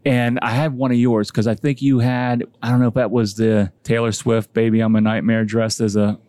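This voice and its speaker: A man's voice: medium pitch, flat delivery, moderate pace, a little mellow, no echo. A man, a homebrewer guest chatting casually on a podcast, rambling and self-deprecating with many restarts.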